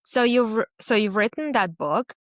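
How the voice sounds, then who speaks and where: A woman hosting a podcast, asking relaxed questions and recapping what her guest says. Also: fairly high pitch, moderate pace, dry recording, clean studio sound. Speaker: podcast host. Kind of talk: casual interview questions.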